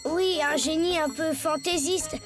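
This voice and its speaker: A good-natured young cartoon schoolboy, with a very high voice. He sounds earnest, polite and boyishly hesitant. Music plays clearly underneath. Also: fairly even intonation, measured pace. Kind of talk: French cartoon voice acting. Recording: music behind the voice.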